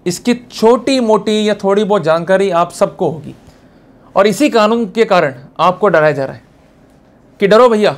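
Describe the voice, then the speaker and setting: A man's voice: sits high, lively intonation, moderate pace. An Indian man giving a motivational legal lecture, exhorting the listener emphatically with rhetorical questions and English terms mixed in. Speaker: presenter of a legal lecture series, advocate.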